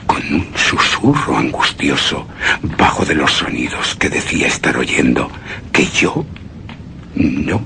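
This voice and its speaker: An actor playing a haunted, anguished husband who narrates a gothic horror tale in a radio drama, with heavy dramatic pauses. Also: male voice, very deep voice, gravelly, pushed and bright, a little hiss or hum, exaggerated voice. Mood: grave, brooding. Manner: slow, brooding, dramatic delivery with heavy pauses.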